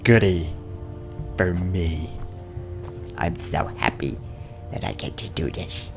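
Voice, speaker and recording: A man's voice: deep voice, slow pace, creaky, over music. A man giving casual, playful and sarcastic commentary while playing a video game. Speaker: gaming video host.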